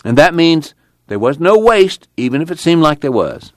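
A man reading the part of a fervent preacher with a drawl, his voice pitched high and climbing as he grows excited. It moves up and down through a lively range, and the recording is close and dry. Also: moderate pace, no echo. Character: earnest fiery male preacher, middle-aged.

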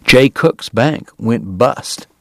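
A man's voice: medium pitch, lively intonation, drawn-out words, gravelly, pushed and bright, no echo, full character voice. A man voicing a brusque, hearty Southern dentist in an audiobook, speaking plainly. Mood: newsy. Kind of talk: audiobook character voice.

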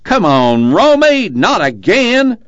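A man voicing an exasperated country truck driver who yells, in a narrated story. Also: high voice, huge pitch swings, slow, smooth, no room sound, highs cut off, pitched above the performer's own voice, heavily acted. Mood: exasperated yelling. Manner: yelling, exasperated.

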